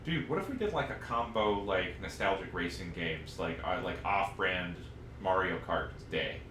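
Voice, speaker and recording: A man talks casually as a podcast co-host, laid-back and slangy. His voice is on the low side, with noticeable room echo around it and faint noise behind. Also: expressive, normal speed, a bit bright. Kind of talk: casual chat about video games. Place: indoor room, live-streamed podcast recording. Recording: roomy sound with faint background noise.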